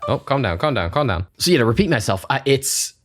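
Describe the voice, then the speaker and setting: A man's voice: mid-range voice, very animated, quick pace. A man chatting casually as he reacts to an album, sounding congested and stumbling over his words. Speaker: music reaction host. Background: album music playing.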